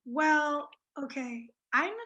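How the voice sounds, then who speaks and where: A woman with a high voice, raised speaking French and Italian, answers interview questions as a psychic guest. She sounds shy and hesitant and fills her answers with ums and restarts. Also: fairly even intonation, very slow, pushed and bright, muffled recording. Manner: shy, hesitant, many fillers. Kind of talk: casual interview chat.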